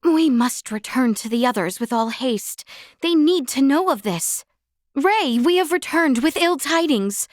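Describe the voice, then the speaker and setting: A gracious young noblewoman from a fantasy video game. Her voice sits on the high side and is recorded very dry and close. She speaks with formal, archaic courtesy and an earnest, resolute temper. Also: lively intonation, measured pace, dry recording. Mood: grave, determined.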